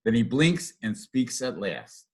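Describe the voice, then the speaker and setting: An older man reading a rhyming picture book aloud to children in an expressive, sing-song rhythm. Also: medium pitch, a little slow, breathy edge.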